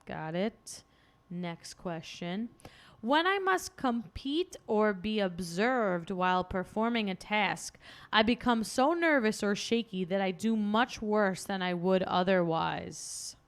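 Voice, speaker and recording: A woman's voice: medium pitch, lively intonation, slow. A woman co-hosting a podcast, chatting casually and reading out quiz questions with a playful, laughing manner. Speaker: podcast co-host.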